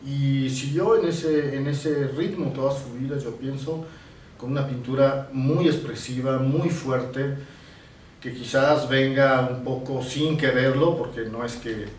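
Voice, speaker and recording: A man in his sixties from Italy talks through his memories in a reflective way, often stopping to search for the next word. He sits some distance from the mic in a room with noticeable reverb. A faint hum of ambience stays under his voice. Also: medium pitch. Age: 60s. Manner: reflective, pausing to search for words. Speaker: painter being interviewed. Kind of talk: reminiscing in an interview.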